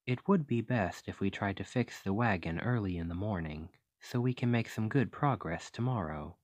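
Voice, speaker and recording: ASMR roleplay: courteous, fascinated scholar-archaeologist, played by a man, fairly low pitch, articulate and thoughtful, dry recording. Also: normal speed, no echo, lightly acted. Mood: kindly, earnest. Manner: formal, articulate, musing delivery.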